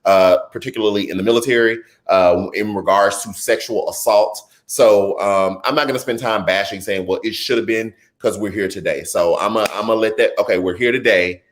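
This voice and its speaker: A man in his thirties hosting a live political commentary stream, chatty and animated, reading viewer comments and riffing between stories. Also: lowish voice, fairly quick, hardly any pauses. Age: 30s. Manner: animated and conversational, drifts into chatty asides and laughs easily. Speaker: political talk show host.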